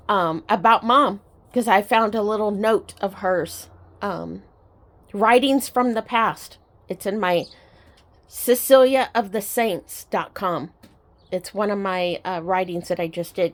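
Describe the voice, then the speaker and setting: A middle-aged woman sharing personal memories in a casual, reflective, sentimental and halting way, like a vlogger talking to her viewers. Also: a little high. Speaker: storytelling vlogger. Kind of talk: personal reminiscing to camera, casual chat.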